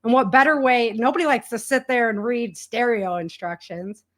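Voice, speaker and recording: A woman's voice: a little high, moderate pace. A woman with an American accent chatting casually as a guest, telling stories in a candid way with everyday slang. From rural United States. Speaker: guest, indie author and entrepreneur. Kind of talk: casual conversational storytelling.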